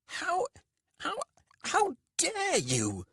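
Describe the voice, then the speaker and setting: A man's voice: a little high, sing-song, unhurried, no room sound, clean studio sound, pitched above the performer's own voice, full character voice. A man voicing a plump, pompous merchant, cowardly and whiny, with a wavery, nasal, almost feminine voice. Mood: outraged.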